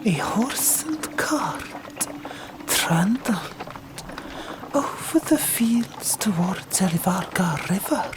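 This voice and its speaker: A woman narrating an audiobook in a hushed ASMR whisper, with deliberate, dramatic phrasing. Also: mid-range voice.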